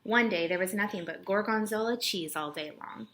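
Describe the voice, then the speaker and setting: A woman reads a children's story aloud at a medium pitch, in storytelling style for a young listener. The recording is homemade, with clear noise and small clicks behind her voice. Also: moderate pace, fairly bright tone. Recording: noisy home recording with clicks.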